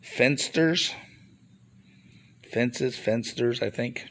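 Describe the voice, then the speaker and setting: A man's voice: medium pitch, drawn-out words, a bit bright. A man filming his toy finds on a phone and chatting about them casually, rambling with mild grumbling asides. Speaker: toy reseller showing flea market finds. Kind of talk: casual off-camera show-and-tell vlog. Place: indoors at a table of toys. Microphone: handheld phone camera.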